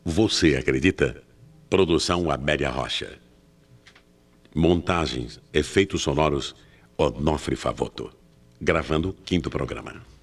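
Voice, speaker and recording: A Brazilian man narrating a scripted radio mystery drama in a solemn, theatrical manner with deliberate phrasing. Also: very deep voice, breathy edge. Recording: music behind narration. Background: music.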